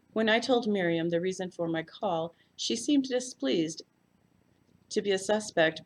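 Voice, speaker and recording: A woman's voice: deep voice, a little mellow. A woman reading a first-person mystery story aloud as a volunteer narrator. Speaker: volunteer audiobook reader.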